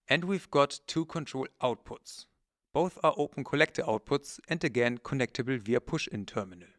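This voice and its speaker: A man's voice: medium pitch, fairly bright tone, no room sound. Methodical male trainer, dry close sound. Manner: methodical, matter-of-fact explaining. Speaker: product trainer, webinar presenter. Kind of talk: instructional presentation, scripted narration.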